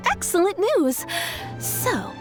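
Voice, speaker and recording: A woman's voice: very high-pitched, big pitch swings, takes it slowly, over loud music, heavily acted. A woman playing a polite, businesslike tailor shopkeeper in a video game, with courteous customer-service phrasing. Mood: welcoming.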